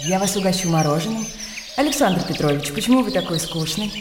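An actress playing a poised society hostess in a radio drama, gracious and playfully teasing. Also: female voice, mid-range voice, animated, quick pace, natural voice. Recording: echoey, reverberant, birdsong and ambient noise. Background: birdsong, outdoor ambience.

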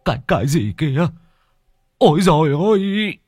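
A man's voice: medium pitch, very animated, moderate pace, a bit bright, dry recording, voice pushed up. A man voicing a credulous, deferential old village man who speaks hesitantly and is easily startled. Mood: frightened.

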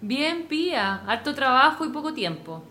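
A woman's voice: high-pitched, very animated, a little slow, sharp tone, exaggerated voice. An actress playing a friendly, modest working-class Chilean woman in a radio drama, casual and plain-spoken.

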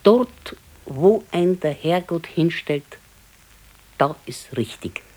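A woman playing an aging, resigned, gently chiding wife in a radio play, with a southern German, Bavarian accent and a matter-of-fact manner. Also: low-pitched, lively intonation, takes it slowly, full character voice, poor audio. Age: older. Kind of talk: naturalistic radio play acting.

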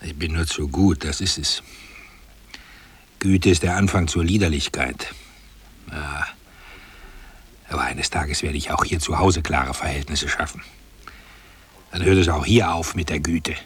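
Low-voiced, sneering bourgeois husband. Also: male voice, measured pace, long pauses, a bit bright, breathy edge, heavily acted. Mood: irritated, boastful. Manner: sneering, condescending, grumbling. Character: smug, bullying middle-class husband, scornful and boastful. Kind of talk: radio play acting.

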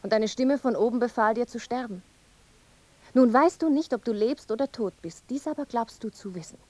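A woman's voice: on the high side, normal speed, a little mellow, close to an everyday voice. A woman playing a mournful, visionary young wife in a poetic radio play, with solemn, incantatory delivery. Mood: insistent.

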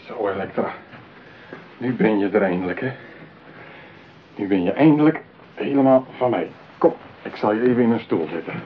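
A man's voice: on the low side, expressive, moderate pace, long pauses, natural voice. An actor in a radio play playing a lonely, possessive man besotted with his mechanical bride, talking theatrically. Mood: tender, gloating. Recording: tinny narrow-band radio play, clear hiss.

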